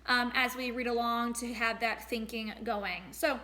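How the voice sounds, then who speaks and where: A woman reading a storybook aloud as a teacher, expressive and pausing to address young listeners. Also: fairly high pitch. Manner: expressive, acts out sound words.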